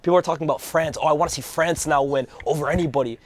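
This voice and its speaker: A hoarse man co-hosting a casual football chat, telling stories in a fast, animated way. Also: fairly high pitch. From Algerian background. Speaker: co-host.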